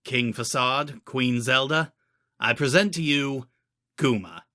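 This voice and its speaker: A man reads as a commanding fantasy court wizard with a mid-pitched voice. He is formal and declamatory, with cutting contempt in how he addresses others. The sound is close and dry, like an audiobook. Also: takes it slowly, bright, forceful tone, no room sound. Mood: stern, formal.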